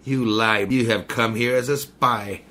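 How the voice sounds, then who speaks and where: An actor playing a menacing male mystic villain in a 1930s-style radio drama, courtly and slow, then threatening. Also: mid-range voice, fairly even intonation, bright, forceful tone.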